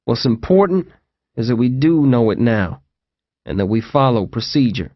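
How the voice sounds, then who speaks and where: Man voicing a calm, measured male inmate, lecturing, a bit higher than the narrator, dull lo-fi sound. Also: dry recording, natural voice. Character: tough male quarantine inmate T J, calm and procedural. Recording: dull lo-fi audio.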